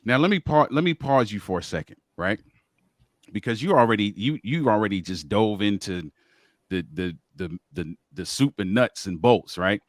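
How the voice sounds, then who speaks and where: A male podcast host who asks energetic questions, restarting his words often and summing up his guest's points. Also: lowish voice, moderate pace, no room sound, clean studio sound. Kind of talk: interview hosting.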